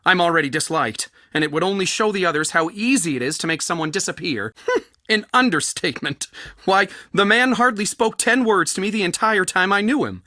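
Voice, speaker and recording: A man's voice: sits high, lively intonation, a little fast, bright, forceful tone, no room sound, clean studio sound. A man playing an eccentric, anxious, bookish museum curator in a video game, with a theatrical, wordy and wryly dramatic delivery. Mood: worried. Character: eccentric, bookish, anxious male culture center curator Soren.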